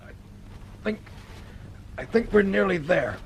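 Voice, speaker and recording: A nervous young man in a radio drama. His high voice is slow and creaky, halting as if straining at hard work. Faint noise surrounds it. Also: expressive, exaggerated voice, rough recording. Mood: tense. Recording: faint noise, room ambience.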